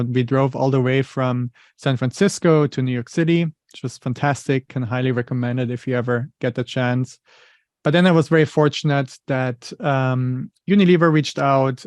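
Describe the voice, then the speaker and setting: A thoughtful man in his thirties speaks English with a German accent, walking through his ideas in a patient, explanatory way with a sprinkling of "you know." His voice sits at an ordinary pitch and is recorded dry, with no room echo. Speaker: interview guest, finance leader. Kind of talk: conversational interview.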